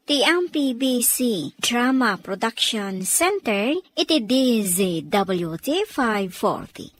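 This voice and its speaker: A woman with a Filipino accent introducing a radio drama in a measured, formal, scripted style. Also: on the high side. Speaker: radio drama announcer and narrator.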